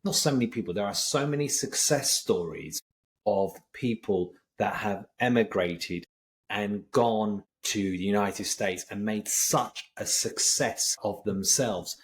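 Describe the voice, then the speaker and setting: A man's voice: on the low side, unhurried, slight background noise. A British man hosting a podcast interview, talking conversationally and rephrasing himself mid-sentence. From Britain. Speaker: podcast host.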